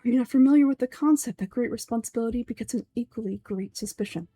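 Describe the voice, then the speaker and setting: Lady doing cold pompous old villain sage guy for a game, talks formal n condescending, voice on the dark side. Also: a little high, quick pace, no room sound, soft background music, natural voice. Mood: coldly condescending. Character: pompous, menacing old male grand sage, coldly calculating villain. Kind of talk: amateur voice-over of game dialogue.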